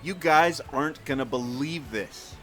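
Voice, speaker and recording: Man playing a bright, high-pitched adventurer sidekick. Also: a little slow. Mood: amazed. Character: keen, bold male adventurer sidekick. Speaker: podcast host acting in the show. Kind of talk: amateur radio drama acting. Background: music.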